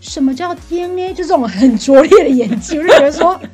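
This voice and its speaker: A woman's voice: sits high, expressive, brisk, over music. A woman with a Taiwanese Mandarin accent co-hosting a podcast in casual, teasing banter with jokey swearing. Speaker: podcast co-host.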